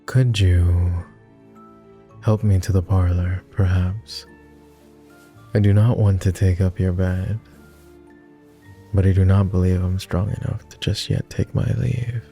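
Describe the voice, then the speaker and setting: A man playing a lonely, gentle vampire who speaks formally and haltingly in an intimate ASMR roleplay. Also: deep voice, fairly even intonation, soft background music. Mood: flustered, shy. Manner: formal, old-fashioned wording with halting, hushed delivery. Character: clingy lonely male vampire, courtly, gentle, easily flustered.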